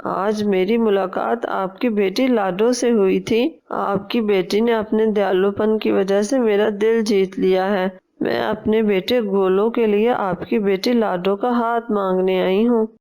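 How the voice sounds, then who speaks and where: A woman voicing a gentle older mother bird in a cartoon, with a polite, appreciative manner. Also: medium pitch, steady tone, unhurried, few pauses, natural voice. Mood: polite, pleading.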